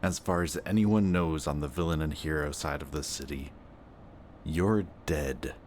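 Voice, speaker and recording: A man playing a sardonic, gruff but protective antihero villain in an intense, emotional audio roleplay. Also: a bass voice, unhurried, a little mellow, slight background noise. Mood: upset, worried.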